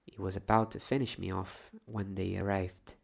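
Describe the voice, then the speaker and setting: A man's voice: deep voice, little pitch movement, moderate pace, vocal fry, heavily acted. A man voicing a meek, earnest young schoolgirl in a storybook reading. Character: small-voiced young schoolgirl, earnest and apologetic.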